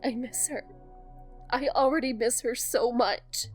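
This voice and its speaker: A woman playing a grieving, self-doubting young woman in an audio drama, subdued and halting. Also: on the high side, steady tone, soft background music, natural voice. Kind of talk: naturalistic audio drama dialogue.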